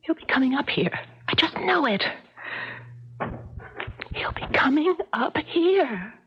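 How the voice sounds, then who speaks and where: An actress playing a wistful, apprehensive woman falling for a stranger in a 1970s radio drama, speaking in a hesitant, dreamy, breathy way. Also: high voice, big pitch swings, fairly bright tone, heavily acted. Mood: alarmed. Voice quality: breathy, husky. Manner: hesitant, dreamy, halting repetitions. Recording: dull, lo-fi 1970s radio recording.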